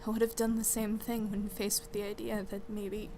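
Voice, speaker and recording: A woman's voice: little pitch movement, normal speed, dark tone, lightly acted. A woman playing a shaken, sorrowful friend in an audio drama, speaking hesitantly over an internet call. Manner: subdued, hesitant. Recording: internet call with rumbling background noise.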